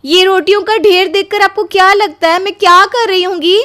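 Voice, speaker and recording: Woman voicing a very high-pitched, put-upon young bride. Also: fairly even intonation, brisk, bright, forceful tone. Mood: exasperated. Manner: plaintive, complaining. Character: exhausted, put-upon young newlywed bride, aggrieved and tearful. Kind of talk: melodramatic cartoon story acting.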